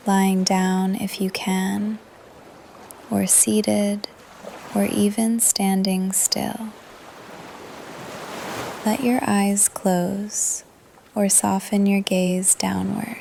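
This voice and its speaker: Calm lady doing yoga nidra, super soft n half whispered, really even tone, bit of creaky fry, gentle pauses. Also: medium pitch, a little hiss or hum. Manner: soft, breathy, often trailing into whisper. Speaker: guided meditation teacher. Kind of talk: guided meditation, yoga nidra narration. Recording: ocean surf under the voice. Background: ocean waves ambience.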